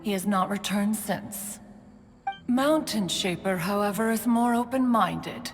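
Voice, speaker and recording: A woman's voice: medium pitch, unhurried, in a large hall, soft background music, close to an everyday voice. A woman playing a haughty, ancient immortal bird in a video game, with formal, archaic diction. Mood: exasperated. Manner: formal, archaic, stately diction, refers to herself as one. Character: haughty, considerate elder female adeptus bird, ancient. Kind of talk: video game cutscene acting.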